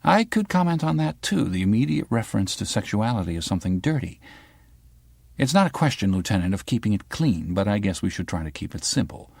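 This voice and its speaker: A man reading aloud and voicing a sharp-tongued young woman reporter who fires pointed, needling questions. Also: on the low side, very animated, brisk, gravelly, no room sound, studio quality, full character voice. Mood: probing.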